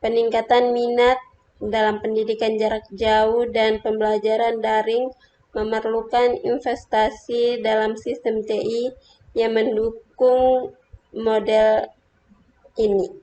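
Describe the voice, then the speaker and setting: A woman's voice: fairly high pitch, flat delivery, slow, warm tone. A young woman reading a student slide presentation carefully, with small stumbles. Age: young adult.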